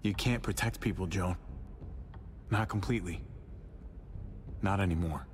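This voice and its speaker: An actor playing a glib younger brother and survivor in a video game, casual and wryly diplomatic. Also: male voice, deep voice, unhurried, a little hiss or hum. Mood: guarded, low-key. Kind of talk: naturalistic dramatic game acting. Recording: long reverb, music under the voice.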